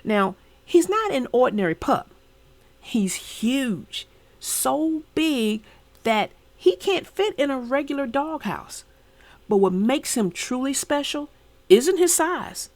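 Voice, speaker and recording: A woman narrating for children in an expressive read-aloud style, speaking directly to her young listeners. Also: high voice, takes it slowly, dry recording.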